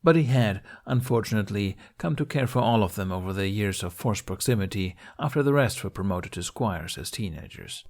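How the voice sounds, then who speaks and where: A man with a fairly low voice narrates a story. He reads in an expressive audiobook style, telling fan fiction as scripted prose. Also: a little fast, hardly any pauses.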